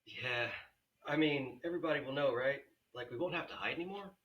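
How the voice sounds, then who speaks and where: Man as insecure young man, hesitant, husky edge, forceful bright tone, drama table read. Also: medium pitch, muffled recording. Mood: unsure, needy. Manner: hesitant, halting. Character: insecure, nervous young man in a secret romance.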